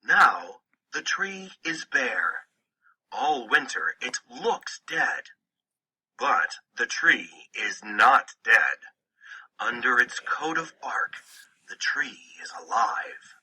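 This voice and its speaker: A man reads a children's picture book with a very bright, forceful edge and a husky grain. His delivery is slow and deliberate, with pitch that moves up and down in a storytelling way. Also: very animated, poor audio. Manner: deliberate, storytelling phrasing in short sentences.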